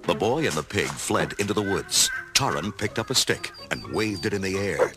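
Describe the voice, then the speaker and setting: A man's voice: low-pitched, lively intonation, moderate pace, music in the background. A man reading dramatic scripted narration for a children's storybook.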